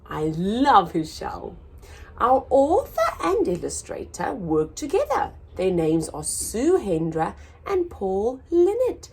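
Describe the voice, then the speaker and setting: A woman hosting a children's story time, reading a picture book aloud in an expressive, sing-song way for small children. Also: a little high, creaky.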